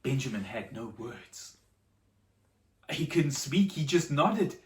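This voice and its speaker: A woman telling a story to children in a dramatic, expressive way, with simple explanations. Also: a bass voice, slow pace. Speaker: storyteller for a children's church show. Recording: echoey room, slightly distant mic.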